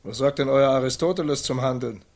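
An actor playing a pompous medieval castle lord who muses and gives orders in a radio play. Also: male voice, medium pitch, fairly quick, a little mellow, natural voice. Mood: musing. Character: indecisive castle lord turning bossy, easily discouraged. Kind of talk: German radio drama acting.

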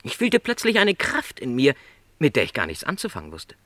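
A man's voice: medium pitch, huge pitch swings, a little fast, bright, forceful tone, no room sound, heavily acted. An actor playing a lonely, dreamy middle-aged travelling salesman in a radio drama, speaking in reflective, rhapsodic literary phrases. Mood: elated, rapt.

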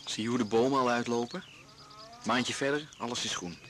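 An actor playing a calm, reassuring and gently practical husband in a naturalistic Dutch radio drama. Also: male voice, medium pitch, a little fast, a little hiss or hum, close to an everyday voice. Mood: gentle, practical. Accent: Dutch (Netherlands).

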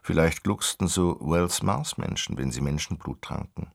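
A man narrating a radio play in a scripted literary style, with a deep, gravelly voice. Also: moderate pace, no room sound, studio quality.

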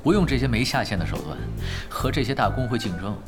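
Man acting shrewd, lively gamer over music. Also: mid-range voice, quick pace, natural voice. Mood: wry. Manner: easygoing, teasing, mock-modest. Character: shrewd, unflappable male veteran gamer, dryly teasing. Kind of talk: Chinese radio drama acting. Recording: studio voice over clear background music.

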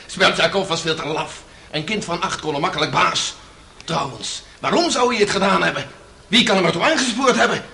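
A man's voice: sits high, expressive, fairly quick, vocal fry, bright, forceful tone, exaggerated voice. A man acting an agitated, emotional accused son on trial for murder in a radio drama. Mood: defiant. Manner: impulsive, emotional, swinging between defiant and despairing. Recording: noisy old radio play recording.

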